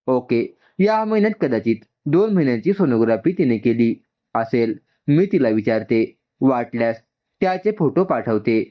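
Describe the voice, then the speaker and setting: A man's voice: mid-range voice, animated, moderate pace, lightly acted. Man playing a worried, apologetic young woman. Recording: dull, muffled lo-fi sound.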